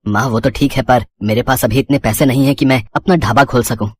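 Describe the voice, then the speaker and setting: A man's voice: mid-range voice, steady tone, a little fast, darkish tone, slightly rough, close to an everyday voice. A man voicing a troubled, remorseful young son in a moral story, earnest and plaintive.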